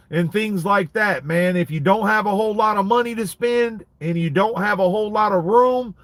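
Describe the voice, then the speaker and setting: A man's voice: high-pitched, no echo. A man in his late thirties, a dog breeder hosting a casual livestream and answering viewer comments in laid-back street slang. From United States. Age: 30s.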